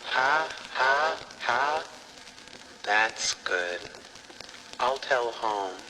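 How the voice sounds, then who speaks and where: Man acting an odd, very slow, sharp-voiced fellow. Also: mid-range voice, animated, a little hiss or hum, full character voice, poor audio. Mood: oddly calm.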